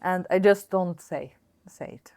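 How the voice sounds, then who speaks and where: A middle-aged woman from Austria with a low, deep-set voice speaks at an unhurried pace. She is a guest in a relaxed conversation, hesitating often with fillers and false starts. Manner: hesitant, many fillers and restarts. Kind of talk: casual interview conversation.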